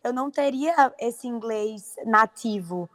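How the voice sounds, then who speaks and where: Brazilian actress chatting in an interview, kinda high pitched, animated, dry close sound. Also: female voice, slow, no room sound. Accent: Brazilian Portuguese. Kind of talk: interview answers.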